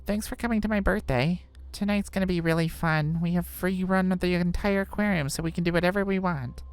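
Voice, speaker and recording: An upbeat schoolgirl party host, played by a woman in a visual novel. Her voice sits a little low as she casually addresses her classmates, with faint music behind. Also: brisk, clean tone, natural voice. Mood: excited.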